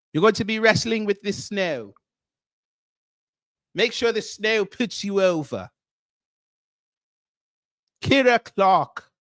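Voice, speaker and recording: A man's voice: high voice, fairly bright tone, no room sound, studio quality, heavily acted. A man putting on a Nigerian accent to play a grandiose, formal, self-proclaimed prince who hosts a livestream and greets viewers one by one. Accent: put-on Nigerian. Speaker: livestream host. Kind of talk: comedic character livestream.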